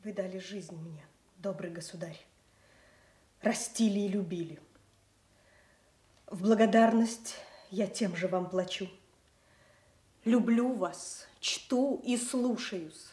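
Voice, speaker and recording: A woman playing a king's daughter in a classical radio tragedy, speaking formally, deliberately and earnestly. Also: medium pitch, mellow, natural voice. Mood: earnest, restrained. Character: royal daughter, formal and measured before her father. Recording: roomy echoing stage sound.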